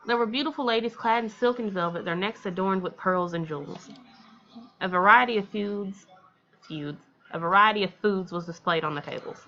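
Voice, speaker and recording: An American woman hosts a let's play in a mid-pitched voice. She switches between reading story narration and casual, chatty commentary. The sound is a little dull and lo-fi. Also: fairly bright tone. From Texas, United States. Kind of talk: visual novel read-aloud with casual commentary.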